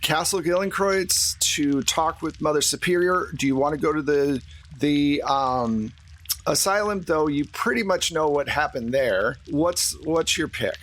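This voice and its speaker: A man's voice: a little high, a little slow, fairly bright tone. A man running a tabletop game as game master, talking casually with a joking, teasing manner.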